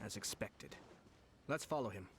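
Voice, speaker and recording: A man playing a composed, shrewd noble strategist in a video game, speaking in a measured, formal way. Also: lowish voice, expressive, very noisy. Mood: amused.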